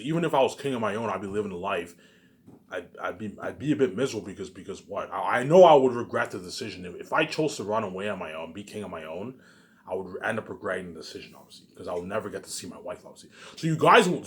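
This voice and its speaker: Fast-talking, boastful low-voiced man. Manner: repetitive, keeps adding "obviously"; profane and boastful. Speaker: self-published writer vlogger. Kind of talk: rambling solo rant to viewers.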